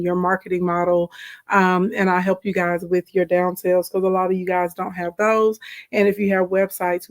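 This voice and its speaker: A woman with a slightly raspy voice, hoarse from a sore throat, hosts a live stream as a business coach. She talks in a loose, chatty way and breaks off mid-sentence to greet viewers by name. She sounds like she is speaking into a phone or camera. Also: lowish voice. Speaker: livestream host and business coach. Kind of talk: casual livestream chat.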